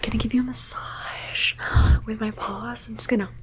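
A woman voicing a playful, doting pet cat in an ASMR roleplay, whispering softly and meowing between her words. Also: on the high side, steady tone, moderate pace, close to an everyday voice. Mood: whispery, playful. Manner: soft whispering with repeated meows.

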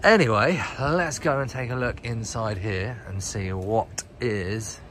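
A man's voice: lowish voice, measured pace, a bit bright, slight background noise. A British man giving a casual, off-the-cuff walk-around commentary as a vlogger, recorded in a workshop. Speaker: vlogger, host of a channel about rotten old cars. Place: inside a car workshop.

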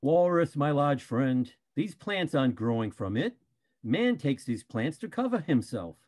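A man's voice: medium pitch, very animated, unhurried, a little mellow. An older man playing an earnest, polite panda bear who argues persuasively in a play reading over a video call. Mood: earnest, reasoning. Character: earnest, reasonable panda bear defending a newcomer.

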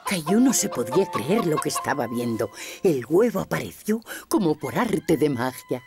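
Low-pitched, animated woman storyteller. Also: normal speed, over music. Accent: Castilian Spanish. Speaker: narrator. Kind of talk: scripted children's story narration.

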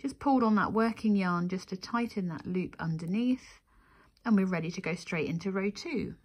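A woman with a slightly husky voice at a middle pitch guides listeners through a craft tutorial. She goes methodically, one step at a time, and counts aloud as she works. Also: moderate pace. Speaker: crochet tutorial instructor.

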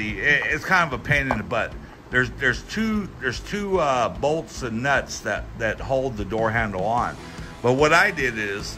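A middle-aged American man giving a casual, folksy hands-on product review, talking in a halting, rambling way with repeated words. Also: mid-range voice, bright, forceful tone. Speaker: tool review channel host. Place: indoors at a workbench.

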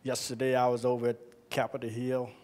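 An older man giving a reflective speech on stage into a handheld microphone, deliberately, with emphatic phrases. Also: medium pitch, monotone, a little mellow. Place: stage in an auditorium.

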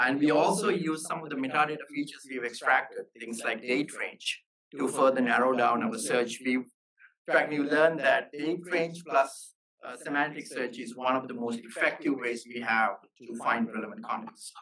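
A man's voice: medium pitch, steady tone, moderate pace, crowd noise. Man giving a technical talk, slightly distant mic. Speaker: software engineer presenting at a meetup. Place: live meetup talk.

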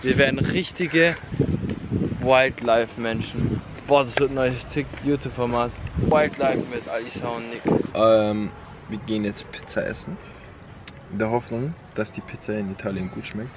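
A young man vlogging in laid-back slang, holding the camera at arm's length, his voice sitting mid-pitch while loud outdoor wind and traffic noise wash over it. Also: moderate pace. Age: young adult. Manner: loose slangy chatter, narrating on the move. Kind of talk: casual travel vlog chat. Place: outdoors and in a car on a road trip. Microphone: handheld camera at arm's length.

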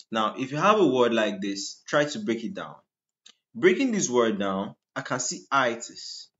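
A deep-voiced man talks through medical slides in a lecture. His voice sits at an ordinary middle pitch, and he keeps tossing out "what?" to his students before giving the answer. The lo-fi screen recording sounds a little dull. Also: a bit bright. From Nigeria. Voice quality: deep, just-woken voice. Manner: asks rhetorical "what?" questions and answers them.

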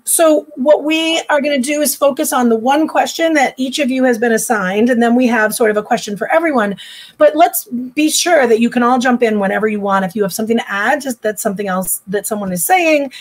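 A fairly high-voiced woman from the Boston area hosts a panel over a video call. She talks in a loose, chatty way, filling pauses with "um" as she introduces each guest. The sound is a plain laptop mic in a home room. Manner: warm, chatty introductions with frequent "um". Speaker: editor and panel moderator. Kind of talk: live online panel moderation. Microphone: laptop webcam mic on a video call.

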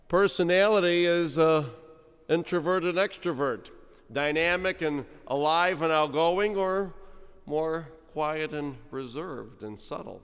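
A middle-aged man, a priest, giving an animated, humorous sermon at a pulpit microphone, with sudden quiet drops. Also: on the high side, smooth. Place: large church, speaking at a pulpit. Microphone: stand mic at pulpit. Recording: long church reverb.

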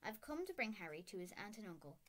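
Storybook role: grave, quiet old headmaster wizard, read by a woman. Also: medium pitch, big pitch swings. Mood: grave, firm. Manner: quiet, heavy, measured.

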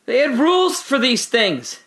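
A sardonic prisoner, rambling monologue, bright forceful tone, radio drama. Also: male voice, extremely high pitch, wildly swooping pitch, unhurried, heavily acted. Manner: swings from hushed musing to indignant shouting. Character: rambling detained man, bitter and sardonic. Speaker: voice actor.

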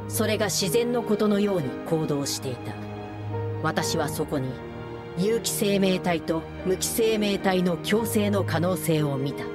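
A woman voicing a calm, formal artificial research being in a video game cutscene, speaking in a measured, detached way. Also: fairly low pitch. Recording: game audio with music underneath. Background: game soundtrack music.

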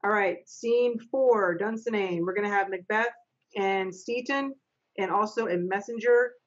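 A woman's voice: mid-range voice, unhurried. Stage manager, middle-aged female, plainspoken and instructive, heard through slightly lo-fi video call audio. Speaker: stage manager and narrator of a readers' theater. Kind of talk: reading stage directions and coaching readers. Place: small home office. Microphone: video call, computer microphone.

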